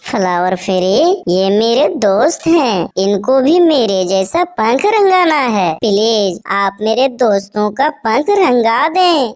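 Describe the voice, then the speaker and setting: A woman's voice: on the high side, lively intonation, slow, hardly any pauses, darkish tone. A woman playing a friendly, helpful female butterfly in a children's cartoon. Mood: pleading. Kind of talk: animated children's story voice acting.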